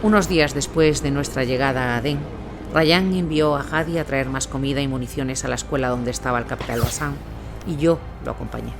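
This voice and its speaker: A man's voice: mid-range voice, brisk. A man reading a scripted first-person war account as its narrator. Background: music.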